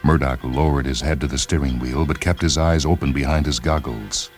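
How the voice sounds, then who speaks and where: A man narrating a short story in a deliberate, dramatic literary delivery, with music behind him. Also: extremely low pitch, steady tone. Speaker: narrator and reader of short stories.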